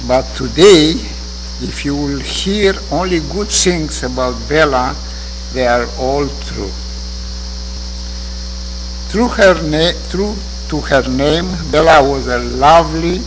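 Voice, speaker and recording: Older romanian-accented guy giving a eulogy, really slow, lectern mic, echoey sanctuary. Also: fairly high pitch. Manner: slow, measured, earnest delivery. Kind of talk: memorial eulogy. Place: synagogue sanctuary. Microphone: lectern microphone. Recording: reverberant hall with hum.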